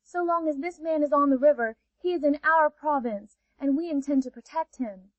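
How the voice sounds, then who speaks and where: A woman's voice: normal speed, dry recording, close to an everyday voice. A woman voicing a chorus of stubborn ray fish who talk like defiant children. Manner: high, childlike, insistent. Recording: dull, dry audio.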